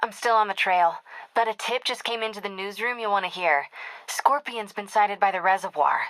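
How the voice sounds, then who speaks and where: An actress with an American accent playing a brisk, teasing young newspaper reporter in a video game. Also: female voice, medium pitch, a bit bright, no room sound, lightly acted, low-quality recording. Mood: casual, teasing. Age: young adult. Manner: casual, quick-witted phone chatter.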